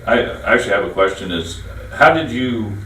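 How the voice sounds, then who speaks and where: Host: man, low voice, friendly and rambling, roomy far-off sound. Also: expressive, fairly quick, a bit bright, slight background noise. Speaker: interview host. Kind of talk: local business interview show.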